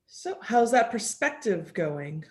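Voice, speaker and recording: A woman's voice: mid-range voice, sing-song, slow pace, darkish tone. A woman playing a wry, teasing childcare coworker with a dry manner in a dramatic script reading. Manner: dry, sardonic.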